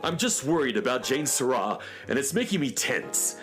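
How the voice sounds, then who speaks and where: A man's voice: mid-range voice, big pitch swings, normal speed, fairly bright tone, soft background music. A man playing a fussy, earnest, eccentric handyman inventor in a children's radio serial, speaking precisely and theatrically. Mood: worried.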